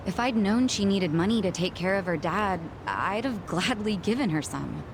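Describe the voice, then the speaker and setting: A woman's voice: medium pitch, lively intonation, normal speed, background noise, natural voice. A woman playing a blunt, guarded young cabaret club bartender in an English video game dub, frank and curt. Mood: frank, explanatory.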